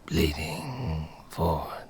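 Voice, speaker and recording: An actor playing a delirious, gravely wounded man in a dark fantasy audio drama, halting and dazed, in a hoarse, breathy whisper. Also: extremely low pitch, animated, full character voice. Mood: delirious, trancelike. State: weak, gravely unwell. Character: half-dead, delirious wounded man muttering scripture.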